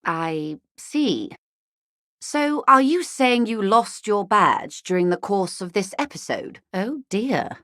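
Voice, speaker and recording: An actress playing a poised, well-bred corporate negotiator in a video game, speaking in an articulate, measured, drily ironic way. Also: female voice, medium pitch, big pitch swings, a bit bright, no room sound, studio quality. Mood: polite concern. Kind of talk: scripted video game dialogue.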